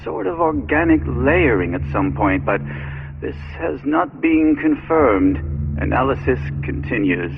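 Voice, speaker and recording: An actor playing a methodical research scientist aboard a starship in a sci-fi video game. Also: male voice, mid-range voice, very animated, a little slow, creaky, phone-quality sound, music in the background. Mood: measured, clinical. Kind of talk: sci-fi video game acting, log narration.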